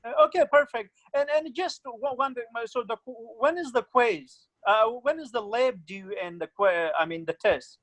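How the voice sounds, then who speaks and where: Young man, student, halting and hesitant, higher voice, dry. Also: a bit bright, dry recording, low-quality recording. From Afghanistan. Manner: hesitant, many fillers and restarts. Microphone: computer mic on a video call.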